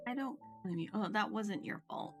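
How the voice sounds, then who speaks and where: A woman's voice: mid-range voice, moderate pace. Casual woman game streamer over music. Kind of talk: casual live game commentary.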